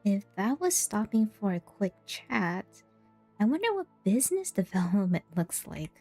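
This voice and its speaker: A woman reads game dialogue and comments casually as a streamer. Her voice is mid-pitched and quite mellow. Faint game music plays behind her. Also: big pitch swings, moderate pace. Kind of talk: casual gameplay commentary and reading dialogue choices.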